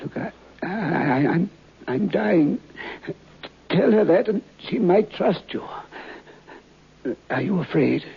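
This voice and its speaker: An actor playing an ailing, stubborn old sea captain in a radio horror play, with a weak, croaky voice and a halting, stammering delivery. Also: male voice, high voice, expressive, heavily acted. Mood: feeble, anxious. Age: older. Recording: dull old radio recording.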